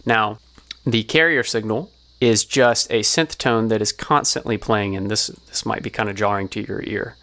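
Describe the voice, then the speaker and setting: A man's voice: on the low side, fairly even intonation, normal speed, pushed and bright. A man giving an explanatory tutorial as a sound designer, talking through it step by step.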